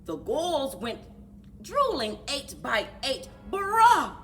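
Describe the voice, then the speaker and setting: A woman reading a children's storybook aloud in an animated, rhythmic singsong for a library story time. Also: high-pitched, slow, faint music behind. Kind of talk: children's storybook read-aloud with chanted refrains.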